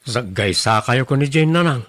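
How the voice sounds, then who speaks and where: A man's voice: medium pitch, lively intonation, moderate pace, fairly bright tone, no echo, clean studio sound, lightly acted. A man acting a shy, hesitant young driver in a radio drama, stammering bashfully. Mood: worried.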